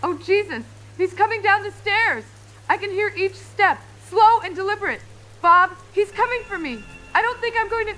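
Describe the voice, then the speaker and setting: A woman's voice: high voice, normal speed, a bit bright, slight background noise, rough recording. A woman playing a frightened, urgent radio phone-in caller hiding from an intruder in her home. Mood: terror. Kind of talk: radio horror drama.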